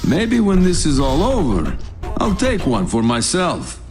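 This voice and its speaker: A man's voice: on the high side, unhurried, soft background music. An actor playing a dry, sardonic soldier, narrating his testimony in a video game cutscene. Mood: wry. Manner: deadpan, wry.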